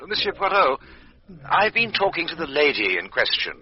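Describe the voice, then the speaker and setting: An actor playing an apologetic, well-mannered gentleman client, polite and hesitant, in a radio drama. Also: fairly high pitch, sing-song, normal speed. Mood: apologetic, awkward. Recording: dull lo-fi radio recording.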